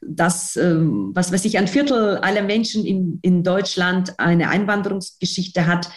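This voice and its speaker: A woman's voice: fairly low pitch, a little slow. A woman speaking German as a second language with a foreign accent, giving a personal account in an interview and repeating words for emphasis. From outside Germany. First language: not German. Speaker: interview guest, newspaper editor and trainer of trainees.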